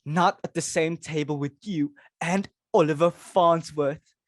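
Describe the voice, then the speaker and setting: A woman's voice: deep voice, a little slow, no room sound, studio quality. A woman playing a fussy, snobbish society hostess and mother in a dramatic reading of a stage comedy, speaking in a haughty, exclamatory way. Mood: fussy, disdainful.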